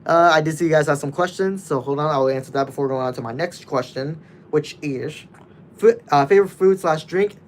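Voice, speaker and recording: A man's voice: on the high side, fairly quick, slight background noise. A nineteen-year-old man casually chatting with his livestream viewers, rambling loosely with many restarts.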